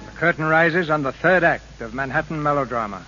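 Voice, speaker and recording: A man's voice: a little high, brisk, bright, forceful tone. A man narrating a 1940s radio melodrama in a grave, portentous manner. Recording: dull old radio recording. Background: dramatic music.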